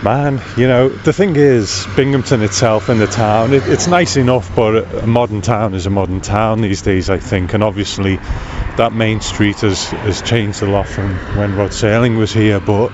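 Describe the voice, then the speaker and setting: A man's voice: lowish voice, normal speed, hardly any pauses, highs cut off. A man hosting a podcast, talking casually as he records on location outdoors. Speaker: podcast host. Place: outdoors on a town street. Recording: noisy outdoor recording with ambient street sound.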